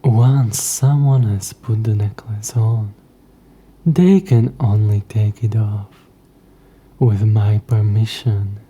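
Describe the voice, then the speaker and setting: A man voicing a smug, coy, centuries-old demon in an audio roleplay, speaking in a hushed, breathy voice with haughty, old-fashioned, taunting phrasing. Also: on the low side, takes it slowly. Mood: menacing, taunting. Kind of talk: scripted audio roleplay addressed to the listener.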